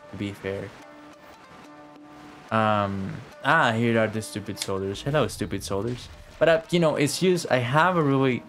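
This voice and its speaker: A man streaming a game with casual, rambling live commentary full of slang and swearing. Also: mid-range voice. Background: faint game music.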